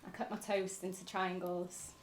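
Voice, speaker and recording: A woman's voice: fairly low pitch, level tone, normal speed, noisy background, close to an everyday voice. A woman playing a grieving granddaughter, reflecting confessionally on her fractured family in a film monologue. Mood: wistful. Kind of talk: dramatic monologue in a short film.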